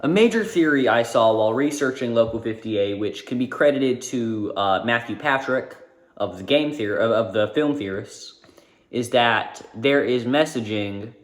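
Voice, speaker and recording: A man's voice: mid-range voice, expressive, normal speed. Man narrating in a roomy space. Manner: informal, with self-corrections. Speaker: video essay narrator. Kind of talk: narrated video essay. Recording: roomy echo.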